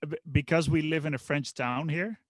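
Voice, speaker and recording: Podcast host, man, Dutch accent, relaxed joking delivery. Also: a little high, moderate pace, no echo. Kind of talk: casual chat.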